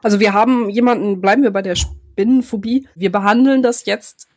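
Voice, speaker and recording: Breathy woman with slight lisp. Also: mid-range voice, fairly quick. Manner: curious, asks follow-up questions. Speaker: podcast host. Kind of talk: casual podcast conversation.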